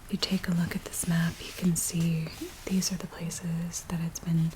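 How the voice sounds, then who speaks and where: A woman acting as an anxious village woman in a spooky ASMR roleplay. She speaks in a gentle, breathy near-whisper, fairly low and very even in pitch. Steady rain sounds behind her. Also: normal speed, lightly acted. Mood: grave, hushed. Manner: hushed, gentle, hesitant. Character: anxious, earnest village woman briefing a werewolf tracker. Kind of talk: soft-spoken ASMR roleplay. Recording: steady rain noise behind close soft voice. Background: rain ambience.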